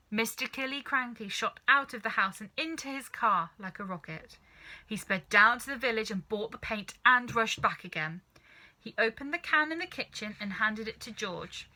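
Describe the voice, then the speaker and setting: A woman reading a children's story aloud in an expressive, deliberate narrating style. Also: a little high, low-quality recording. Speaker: storybook reader.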